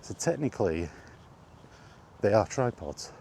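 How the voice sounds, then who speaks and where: A man chatting casually on his own, enthusiastic but halting, with frequent ums and restarts. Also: lowish voice, big pitch swings, creaky, darkish tone, a little hiss or hum. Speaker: vlogger. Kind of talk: casual rambling monologue. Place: outdoors, walking.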